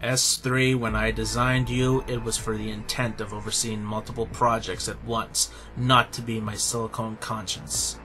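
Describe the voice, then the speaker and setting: A man's voice: on the low side, level tone, moderate pace, few pauses, bright, forceful tone, faint music behind, low-quality recording. A man playing a weary, stubborn company head in an audio drama, terse and authoritative.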